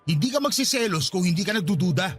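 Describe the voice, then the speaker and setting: A man playing a resentful, fed-up husband who feels distrusted, with sharp retorts and a Filipino accent, in a radio drama. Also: sits high, very animated, fast, breathy edge, soft background music, heavily acted. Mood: indignant.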